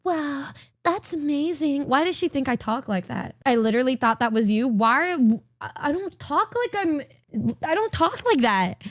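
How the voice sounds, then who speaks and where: A young woman chats to her stream in a high, bright voice, recorded close and dry. She giggles a lot and keeps imitating how her friends talk. Her voice sounds a little worn. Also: sing-song, moderate pace, no echo. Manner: giggly, chatty, often mimics others. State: voice partly gone from recent strain. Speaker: VTuber streamer. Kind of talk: casual livestream reaction chat.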